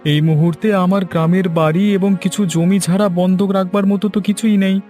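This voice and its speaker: A high-pitched, earnest cartoon villager, played by a man. He is naive and easily fooled, a plaintive fellow sunk in debt, with faint music behind him. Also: steady tone, moderate pace, a little mellow, close to an everyday voice. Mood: hopeful. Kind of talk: cartoon fairy-tale dialogue. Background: background music.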